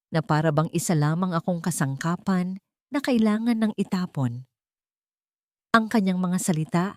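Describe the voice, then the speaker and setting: A woman narrates an audio drama in a low, close and dry voice. Her delivery is solemn and measured, carrying emotion through a scripted first-person story. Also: a little mellow, no room sound.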